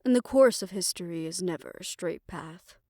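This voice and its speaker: A woman playing a tender, world-weary lover in a fantasy audio roleplay, speaking in a measured, reflective and intimate way. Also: fairly low pitch, no echo, studio quality, natural voice. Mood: grave, reflective. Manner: measured, reflective, formal phrasing, soft hushed moments. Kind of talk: romantic audio roleplay, intimate scripted monologue.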